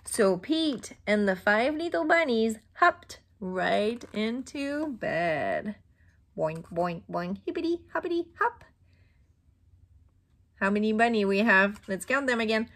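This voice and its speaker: A middle-aged woman reads a children's storybook aloud with very lively intonation. Her voice is fairly high and her pace slow, with an exaggerated, interactive delivery for small listeners. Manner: exaggerated, interactive, with questions and pauses. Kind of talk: expressive read-aloud for young children. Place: small indoor room at home.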